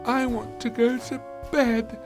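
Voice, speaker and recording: A man's voice: very high-pitched, flat delivery, slow, music in the background, pitched above the performer's own voice, heavily acted. A man voicing an indignant, stubborn little princess, a whiny and demanding small girl in a storybook.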